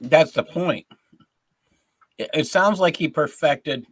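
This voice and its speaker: A man's voice: a little high, slow pace, slightly rough. A man co-hosting a casual discussion, explaining points step by step like a teacher going over grammar. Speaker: co-host, educator.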